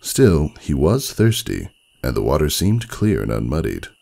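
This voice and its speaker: Super deep guy reading a story dramatically, creaky low voice, solemn n theatrical. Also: big pitch swings, measured pace, mellow. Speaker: narrator.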